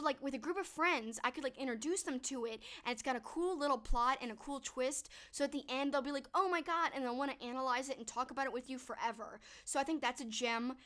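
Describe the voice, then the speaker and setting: A woman's voice: high-pitched, a bit bright. Chatty young woman with a strained voice. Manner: chatty, upbeat, full of "like" and "um". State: losing her voice. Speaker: streamer answering viewer questions. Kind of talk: casual chat to a live stream.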